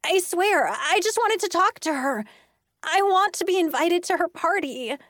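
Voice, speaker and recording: A woman's voice: high voice, expressive, measured pace, a bit bright, dry recording, studio quality, voice pushed up. A woman playing a needy, pushy schoolgirl in a children's audiobook, insistent and pleading.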